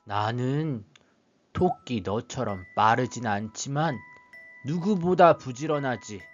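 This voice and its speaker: A man voicing a gentle, hardworking male ox in a storybook, speaking calmly, with soft music behind. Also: medium pitch, slow pace, dull audio. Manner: calm, gentle. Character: slow, steady, kind male ox.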